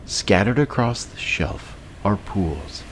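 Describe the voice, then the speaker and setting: Male meditation narrator, low register, very unhurried scripted delivery with long pauses. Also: lively intonation, slight background noise, rough recording. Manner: slow, measured, with long pauses.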